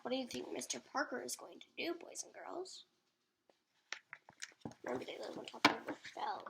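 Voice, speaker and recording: A woman reading a storybook aloud to young children in a deliberate manner. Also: sits high, very animated, creaky. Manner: deliberate, addresses child listeners. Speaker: storybook reader. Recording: faint background noise.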